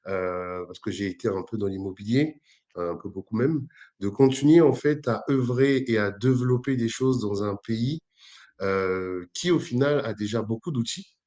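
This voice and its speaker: Man in his forties, from Congo-Brazzaville, low voice, hesitant, lots of fillers. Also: normal speed, dark tone. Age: 40s. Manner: hesitant, many fillers and restarts. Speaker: entrepreneur guest. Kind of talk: interview, conversational.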